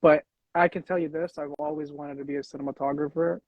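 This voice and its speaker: A man's voice: a little high, big pitch swings, fast talker, vocal fry, no room sound. A young American man hosting a casual interview, talking in a rambling way with frequent restarts. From United States. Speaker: host. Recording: dull lo-fi sound.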